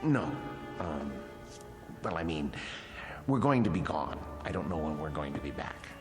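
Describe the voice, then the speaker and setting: A secretive, disturbed man who tends wax figures, speaks in a slow radio-drama voice that slides widely in pitch. He is halting and evasive, and music plays clearly beneath him. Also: big pitch swings, full character voice. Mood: guarded, evasive. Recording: music under the voice.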